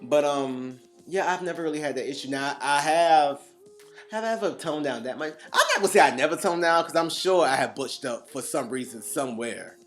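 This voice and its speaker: A man co-hosting a casual chat podcast, animated and quick to laugh, with faint guitar music underneath. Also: on the high side. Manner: animated, laughs often, drawn-out emphasis. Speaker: podcast co-host.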